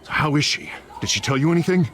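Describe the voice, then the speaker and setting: A man's voice: a little high, lively intonation, fast, a bit bright, a little hiss or hum. A man voicing a businesslike, concerned police official in a video game.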